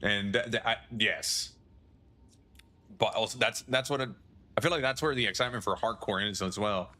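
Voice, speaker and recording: A man's voice: fairly low pitch, normal speed, bright, forceful tone. A male streamer chatting casually on a livestream, rambling, swearing and chuckling often.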